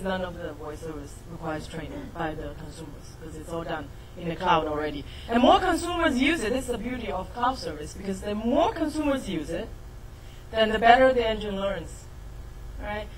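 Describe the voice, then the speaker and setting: A woman's voice: lowish voice, noisy background. Middle-aged chinese lady lecturing on tech, keeps asking "right?". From Shanghai, China. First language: Mandarin. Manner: explanatory, asks audience to confirm. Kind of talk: technical talk to an audience.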